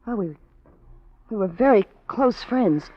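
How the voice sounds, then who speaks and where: Casting: nervous, streetwise dame, mid-pitched, pleading and slangy, vintage radio noir with dull sound. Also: female voice, lively intonation, measured pace. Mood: guarded, earnest. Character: anxious, streetwise young woman on the run. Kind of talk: 1940s radio crime drama acting. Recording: dull, lo-fi 1940s radio recording.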